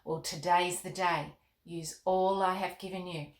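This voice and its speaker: A woman voicing a kindly, majestic king in a children's storybook, measured, gentle and reassuring. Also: fairly low pitch, fairly even intonation, echoey room.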